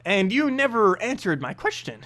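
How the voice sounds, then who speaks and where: A man's voice: sits high, sing-song, normal speed, fairly bright tone, voice pushed up. A man voicing a sly, confident female assassin in a fantasy story, teasing and dry. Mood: questioning.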